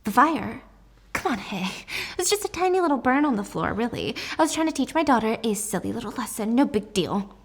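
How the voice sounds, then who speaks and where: A woman's voice: a little high, very animated, a little fast, breathy edge, exaggerated voice. A woman voicing a mean, foul-mouthed mother with an explosive, taunting manner in a dramatic story retelling. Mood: defensive, wheedling. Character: ranting, cruel, money-hungry alcoholic mother, volatile, mocking.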